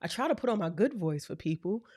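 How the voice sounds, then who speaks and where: A woman with a deep voice hosting a podcast, chatting with her parents at their home in a relaxed, storytelling way. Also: fast talker, breathy edge. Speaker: podcast host. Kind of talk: casual family interview.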